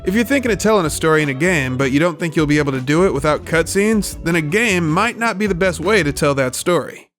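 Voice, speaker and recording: A man's voice: on the high side, expressive, fast, few pauses, a bit bright. A man narrating a scripted, opinionated video essay in an emphatic, colloquial, sweary way. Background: music.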